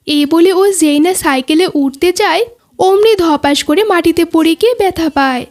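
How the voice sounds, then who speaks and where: Very high, polite cartoon schoolboy played by a woman. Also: moderate pace, darkish tone. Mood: earnest, concerned. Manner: polite, explains things earnestly. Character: dutiful, polite, well-behaved schoolboy friend. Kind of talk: cartoon dialogue.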